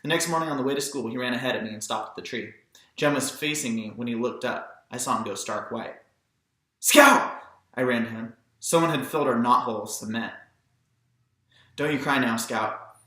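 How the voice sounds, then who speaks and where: A man's voice: mid-range voice, quick pace, sharp tone. A man narrating a novel aloud in a dramatized audiobook style. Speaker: amateur audiobook reader. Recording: very echoey room.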